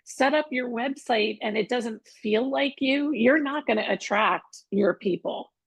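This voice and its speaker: A woman with a strongly raspy, gravelly voice talks frankly and without filter as an interview guest. Her pitch sits a little high, and the sound is very husky and close, with no room echo. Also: measured pace. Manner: candid, forthright, speaks her mind. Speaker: acupuncturist and wellness practitioner, guest. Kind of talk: casual interview chat.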